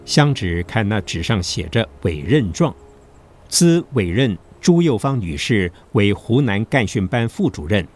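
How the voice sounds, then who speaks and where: Animated, low-pitched male narrator. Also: measured pace. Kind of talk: scripted dramatic narration. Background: background music.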